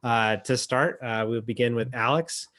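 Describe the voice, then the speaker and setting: A man hosting a poetry reading over a video call, speaking in a halting, reflective way. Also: mid-range voice, a bit bright. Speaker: emcee and editor.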